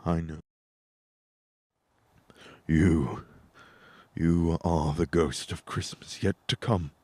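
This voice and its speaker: Guy playing scared old miser in a radio play, low n slow, halting, repentant, very dramatic. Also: steady tone, warm tone, husky, no room sound, exaggerated voice. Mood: fearful.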